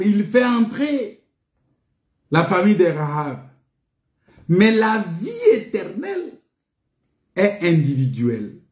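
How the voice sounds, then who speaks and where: Male preacher, high but mellow voice, didactic and repetitive, echoey indoor recording. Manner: emphatic, repetitive, didactic with rhetorical questions. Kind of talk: sermon, teaching scripture. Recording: echoey, reverberant room.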